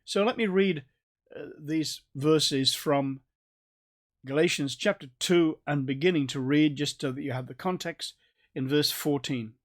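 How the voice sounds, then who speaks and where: A man with a North Staffordshire accent giving a recorded Bible study in a conversational way, with restarts and gentle asides. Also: a little high, a little slow. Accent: North Staffordshire English. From England. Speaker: Bible teacher.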